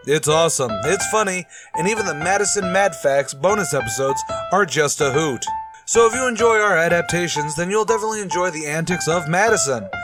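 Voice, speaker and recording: A man's voice: a little high, animated, a little fast, music in the background. A man announcing as a podcast host in an upbeat, scripted style, chatty and enthusiastic.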